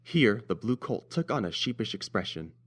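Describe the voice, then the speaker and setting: A man's voice: lowish voice, sing-song, normal speed, breathy edge. A man narrating a scripted fan-fiction story as an audiobook reader.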